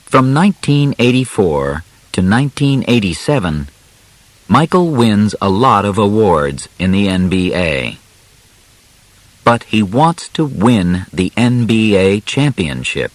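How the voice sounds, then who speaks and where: Male audiobook narrator, unhurried and deliberate, phrase by phrase, very dry close sound. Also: lowish voice, very animated, no room sound, poor audio. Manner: deliberate, clearly separated short phrases. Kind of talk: scripted narration, graded-reader storytelling.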